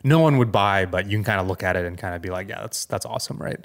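A man co-hosting a podcast, chatting casually about tech news and thinking aloud in a halting, conversational way. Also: sits low, vocal fry. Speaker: podcast co-host.

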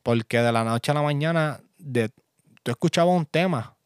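A young man from Puerto Rico with a Puerto Rican accent, hosting a casual solo podcast from his home studio in a relaxed, chatty way with lots of fillers. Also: a little high, normal speed, clear, resonant tone, no room sound. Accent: Puerto Rican Spanish. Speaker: podcast host and music producer.

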